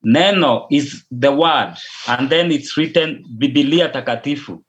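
A man's voice: mid-range voice, a little slow, fairly bright tone. A Kenyan man and pastor, a native Luo speaker, answering interview questions hesitantly, often repeating and restarting words. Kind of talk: interview answers over a call. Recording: dull lo-fi audio.

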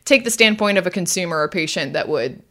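A woman's voice: fairly low pitch, expressive, fast talker, pushed and bright. Chatty woman podcast host. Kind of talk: casual conversational podcast commentary.

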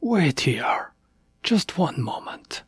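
An adult storyteller voicing a great tiger, a kindly and formal king of cats who speaks deliberately. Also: medium pitch, very animated, breathy edge, no echo, in a whisper, studio quality, pitched above the performer's own voice, heavily acted. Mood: puzzled, patient. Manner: formal, deliberate, gently regal.

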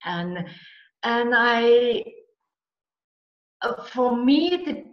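A Finnish-accented woman talks slowly as an interview guest, sharing personal stories and explanations. Her voice sits at a middle pitch, and the sound is roomy and a little dull. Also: lively intonation. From Finland. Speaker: interview guest, coach and mentor.

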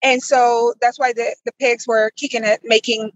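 A woman's voice: a little high, level tone, moderate pace, dry recording, dull audio. A woman in her thirties from the Republic, answering interview questions conversationally as a farmer guest. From Dominican Republic.

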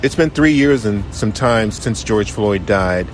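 A man hosting a podcast, narrating in a measured, plain-spoken way and asking questions. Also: fairly low pitch, expressive. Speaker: podcast host. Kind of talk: scripted narration and interview questions. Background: music.

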